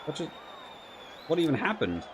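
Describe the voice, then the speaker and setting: A man's voice: fairly high pitch, wildly swooping pitch, normal speed, husky, a faint crowd. A man giving casual video game commentary and review, thinking aloud in a rambling way and often rephrasing himself. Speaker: gaming channel host reviewing a rugby video game.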